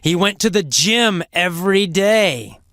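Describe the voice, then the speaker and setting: An English teacher tells a mini story slowly and emphatically and stops to ask the listener questions. The pitch swings widely and the tone is bright. The recording is dry and close. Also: lively intonation, dry recording. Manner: slow, emphatic and theatrical, asks the listener questions. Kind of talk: mini story lesson narration.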